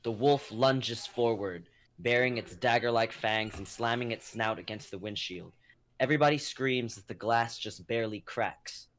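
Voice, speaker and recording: A man's voice: medium pitch, moderate pace, fairly bright tone. A young man narrating a scripted drama in the present tense as the reader of stage action. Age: young adult.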